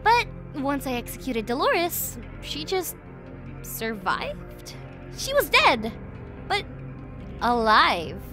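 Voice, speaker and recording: Casting: a sadistic, playful goddess of destruction for horror audio drama; high, very animated, theatrical, sweetly venomous. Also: female voice, takes it slowly, long pauses, music in the background, full character voice. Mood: smug reminiscing. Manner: theatrical, mocking, giggly, swinging from sweet to venomous. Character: gleefully cruel, playful world-killing goddess villainess. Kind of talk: villain monologue in an audio horror series.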